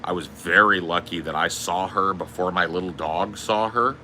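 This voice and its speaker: Deep-voiced booming man, profane and blunt. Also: normal speed, bright, forceful tone, a little hiss or hum. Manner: profane, storytelling. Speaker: podcast host. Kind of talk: casual chat.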